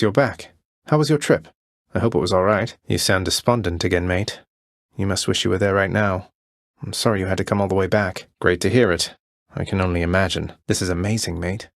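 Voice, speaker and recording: A man playing a young underworld prince in a video game, friendly, courteous and gently wry. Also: low voice, no room sound, studio quality. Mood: earnest, upbeat.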